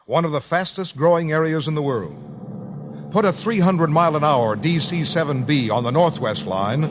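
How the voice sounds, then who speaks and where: An American man narrates a 1950s radio documentary in a solemn, deliberate, scripted style with measured phrasing. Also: a little high, noisy background. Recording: vintage 1950s radio broadcast, music underneath. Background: dramatic music.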